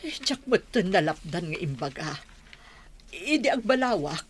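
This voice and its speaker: A woman's voice: fairly low pitch, very animated, moderate pace, slightly rough. A woman playing an anxious village madam fretting over her daughter in an Ilocano radio drama.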